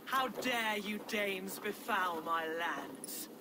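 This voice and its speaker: A woman's voice: medium pitch, expressive, extremely slow. An actress playing a haughty, hostile Saxon noblewoman villain in a video game, speaking with scornful, imperious force. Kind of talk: dramatic video game acting. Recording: clear ambient noise behind.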